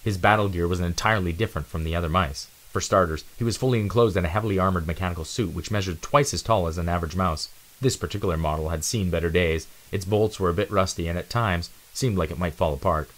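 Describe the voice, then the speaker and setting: A man's voice: sits low, fast talker, fairly bright tone. A man giving a dramatic narration of an adventure storybook.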